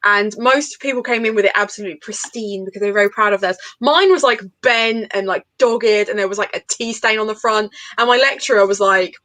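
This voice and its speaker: A woman's voice: mid-range voice, a little fast, few pauses, a bit bright, no echo. A woman chatting casually and enthusiastically about books as host of an informal group livestream. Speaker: host of a book readalong livestream. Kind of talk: casual group book chat.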